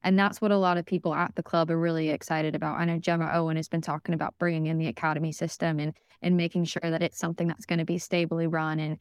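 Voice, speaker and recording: Chatty American woman, smooth clear voice. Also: low-pitched, level tone, quick pace, hardly any pauses, no room sound, clean studio sound. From United States. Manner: enthusiastic, chatty with many fillers and restarts. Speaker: podcast guest. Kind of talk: casual podcast interview.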